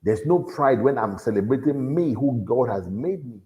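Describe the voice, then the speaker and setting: A man with a deep voice, giving an earnest motivational talk to young people and repeating his key lines for emphasis. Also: animated, moderate pace, mellow, dull audio. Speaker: motivational speaker at a youth event.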